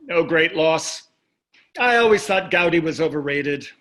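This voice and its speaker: Man playing a dry, dismissive rich husband, higher-pitched. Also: lively intonation, measured pace, a bit bright. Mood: dry, blasé. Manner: dry, blasé, clipped remarks. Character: dismissive, privileged wealthy American husband in his sixties. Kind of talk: staged play reading over video.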